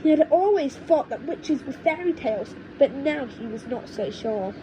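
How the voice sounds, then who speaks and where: A woman reading an audiobook as an amateur storyteller, haltingly, sometimes repeating words. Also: expressive, warm tone. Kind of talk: audiobook storytelling narration, informal asides. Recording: noisy low-quality home microphone.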